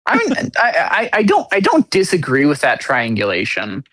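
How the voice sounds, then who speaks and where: A man co-hosting a podcast in casual conversation, halting and full of restarts, with blunt self-deprecating humor. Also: fairly high pitch, wildly swooping pitch, fairly bright tone, no echo, studio quality. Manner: halting, many restarts and fillers. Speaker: podcast co-host.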